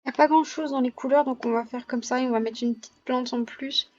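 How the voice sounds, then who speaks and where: A young woman with a high voice, hoarse from a cold, talks through her gameplay in a casual, halting way. She pauses often and corrects herself. The recording is close and dry, with a slightly muffled, lo-fi sound. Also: fairly even intonation, no echo. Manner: hesitant, many filler pauses and self-corrections. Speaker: gaming vlogger.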